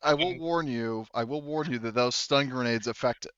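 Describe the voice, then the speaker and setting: A man running a tabletop roleplaying game as game master, talking casually and haltingly as he thinks aloud through the rules. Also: mid-range voice, dull audio, crowd noise.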